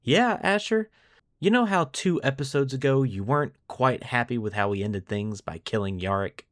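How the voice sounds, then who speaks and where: A man hosting a lore podcast, telling the story in a deliberate, semi-scripted narrative style with dramatic pauses. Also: medium pitch, animated, no echo, clean studio sound. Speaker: podcast host.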